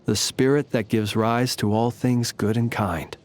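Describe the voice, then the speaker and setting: A man voicing a calm, wry, ancient fae lantern keeper for a video game, speaking in formal, measured, theatrical phrases. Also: medium pitch, close to an everyday voice. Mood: reflective. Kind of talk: scripted video game character voice lines.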